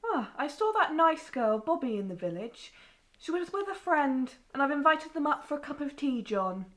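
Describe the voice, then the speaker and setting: A woman's voice: sits high, sing-song, moderate pace, fairly bright tone, soft background music. A woman playing a kindly, welcoming mother in an audio drama, genteel and a little fussing.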